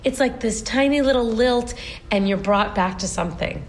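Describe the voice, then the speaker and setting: A woman in her forties with a fairly high voice talks through her family history as an interview guest. She is earnest and animated, often restarting her sentences. A faint hiss sits under her voice. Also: moderate pace, creaky. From United States. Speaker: guest, stage performer. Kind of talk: casual interview chat.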